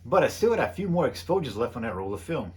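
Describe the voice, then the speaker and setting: A middle-aged American man reading a scripted voice-over in a measured, plain explanatory way, as the host of a photography video. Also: medium pitch, sing-song, a little mellow, slightly rough. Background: background music.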